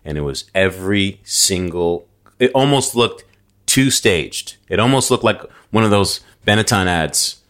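Man from New York, very low voice, animated storyteller, casual podcast chat. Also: measured pace. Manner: animated storytelling, frequent restarts and fillers. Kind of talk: casual conversation.

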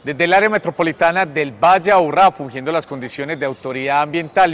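A man's voice: on the high side, fairly bright tone. A man with a Colombian accent giving a formal public appeal as an official. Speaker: environmental authority director.

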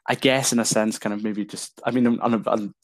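A man's voice: lowish voice, steady tone, fairly quick, no room sound. A seventeen-year-old co-hosting a casual film chat, feeling his way through questions with lots of hesitations. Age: teens. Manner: hesitant, many fillers and restarts. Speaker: podcast co-host.

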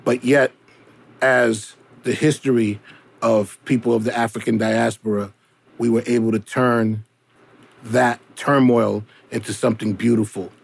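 A man, telling reflective stories as a musician in measured, deliberate phrases. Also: medium pitch, level tone, vocal fry, slightly rough. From South Bronx, New York, United States. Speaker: musician and storyteller. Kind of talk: reflective spoken storytelling.

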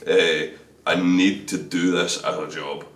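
A very deep-voiced Scottish man talks openly about his life as a drummer, repeating words as he gathers his thoughts. He sits some way from the mic in a reverberant room, with a faint hiss behind him. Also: little pitch movement, takes it slowly. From Scotland. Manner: stammering restarts, candid and chatty. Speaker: drummer guest. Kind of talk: casual interview chat. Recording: echoey room from a distance.

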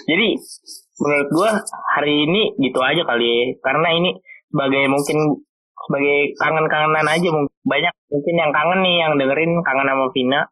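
A man's voice: a little high, brisk, sharp tone, low-quality recording. A teenage boy hosting a casual chat, loose and eager, repeating his words as he asks questions. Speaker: host.

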